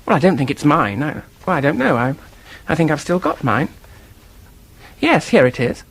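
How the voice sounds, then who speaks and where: An actor playing an earnest, defensive office worker in a radio mystery, husky-voiced, hesitant and blurting, with a temper underneath. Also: male voice, fairly high pitch, sing-song, moderate pace, a bit bright, heavily acted. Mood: flustered, defensive. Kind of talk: radio drama acting, detective mystery.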